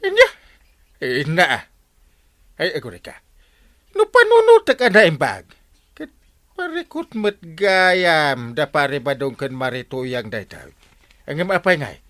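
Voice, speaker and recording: Scolding barangay captain, Ilocano accent, excitable comic radio acting, very animated pitch, dry close sound. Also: male voice, slow, long pauses, no room sound, heavily acted. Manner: exclamatory, starts phrases with "eh". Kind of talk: comedy radio drama acting.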